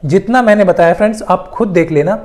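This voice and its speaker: A presenter speaks at a medium pitch in an informal explainer style. The delivery is emphatic, circling back over key points for exam candidates, with English terms mixed in throughout. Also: fast talker, darkish tone. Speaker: presenter of exam news updates.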